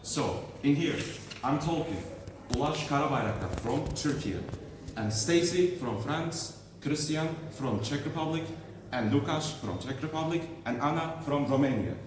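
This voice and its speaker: A male student debater giving a formal opening speech, earnest and halting with fillers, recorded from a distance in a large school hall. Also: on the high side, expressive. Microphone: far room microphone. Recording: roomy hall audio from a distance with background noise.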